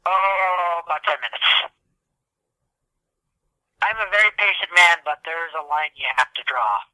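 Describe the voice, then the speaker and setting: Man, high voice, very sharp and bright, lively pitch swings, animated comic rants, casual gamer chat. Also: a little slow, dry recording, poor audio. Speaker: podcast co-host. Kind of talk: casual joking chat about video games.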